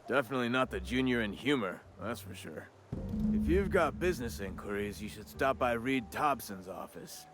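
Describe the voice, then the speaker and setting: A man's voice: medium pitch, animated, normal speed, lightly acted. A folksy gravedigger from a frontier company town, played by a man, chatty and neighbourly in a mid-range voice. It is video game acting, with faint music underneath. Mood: chatty, affable.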